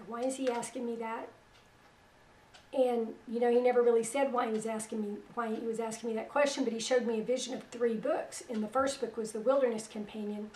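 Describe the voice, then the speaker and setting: A middle-aged woman hosting religious internet radio. Her voice is weak after a stroke, and she talks in a soft, plain-spoken, unhurried way. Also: on the high side, reverberant, distant mic, low-quality recording. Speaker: radio host. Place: small room at home, indoors. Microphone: headset.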